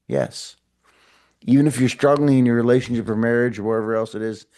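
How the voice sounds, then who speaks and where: Middle-aged man, motivational podcast host, earnest, encouraging, repetitive, mid-pitched voice. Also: vocal fry, darkish tone. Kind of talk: casual motivational talk to camera.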